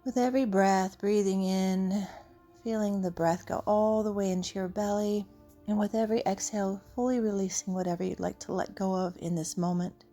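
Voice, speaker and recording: A woman leading an unscripted guided meditation in a soothing, gentle, unhurried way with long pauses. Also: medium pitch, fairly even intonation. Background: soft background music.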